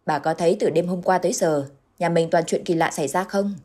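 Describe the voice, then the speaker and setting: A woman voicing a gruff, worried village husband who snaps and then speaks gravely. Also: a little fast, dark tone, lightly acted. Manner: curt, barking, then grave and low.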